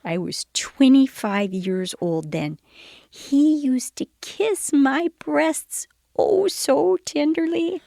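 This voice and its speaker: A woman's voice: high voice, animated, slow, gravelly, mellow, dry recording, studio quality, exaggerated voice. An actress playing an elderly woman who reminisces wistfully and theatrically about her racy youth in a comedy sketch. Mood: dreamy, coy.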